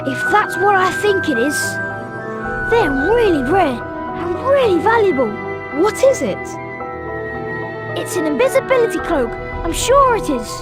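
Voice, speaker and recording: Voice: very high voice, sing-song, unhurried, long pauses, loud music behind the voice, heavily acted. An actor voicing an eager, friendly young schoolboy wizard in a video game. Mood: excited. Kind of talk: video game character acting.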